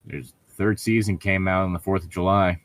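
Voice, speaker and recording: A man's voice: low-pitched, steady tone, moderate pace, no echo. A man reading a story aloud as a co-host, breaking off for sarcastic, irritable, profane commentary and casual banter. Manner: sardonic, irritable, profane heckling.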